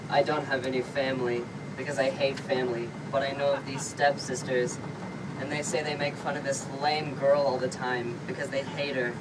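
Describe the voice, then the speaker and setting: Casting: man doing blunt, bitter macho grouch for comedy skit, distant sound, heavy rumble. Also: level tone, moderate pace, lightly acted. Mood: bitter, hostile. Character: hostile, hateful, gruesome macho suitor. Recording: distant mic, loud rumbling noise.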